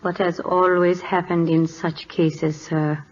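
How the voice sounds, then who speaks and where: A solemn, dignified first wife of a Siamese king. She speaks very slowly in measured broken English. The 1940s radio recording is dull, with faint noise. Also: on the low side, flat delivery. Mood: guarded.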